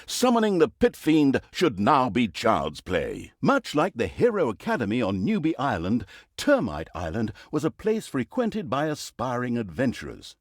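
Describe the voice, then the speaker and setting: An actor playing a sardonic, theatrical narrator of a villainous fantasy video game, telling it like a comic storybook with mock drama and wry asides. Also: male voice, mid-range voice, huge pitch swings, moderate pace, few pauses, no room sound, clean studio sound. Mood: wry, dramatic.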